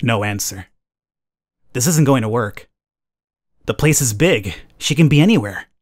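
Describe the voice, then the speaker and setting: A man's voice: mid-range voice, animated, a little slow. Male gamer, mid-range voice, dramatic storytelling, let's play narration read aloud. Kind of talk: reading game narration aloud, with commentary.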